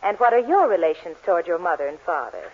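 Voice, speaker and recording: An actress playing a prim, exasperated marriage counselor in a 1940s radio sitcom, formal and stubborn. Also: female voice, lowish voice, animated, fast. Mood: businesslike. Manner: crisp formality, barely contained exasperation. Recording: muffled 1940s radio, faint noise.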